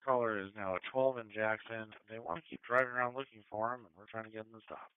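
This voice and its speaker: A man's voice: lowish voice, fairly even intonation, fast talker, clean tone, no echo. An American man handling police dispatch traffic over a two-way radio, terse and matter-of-fact. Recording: thin phone-like police scanner radio.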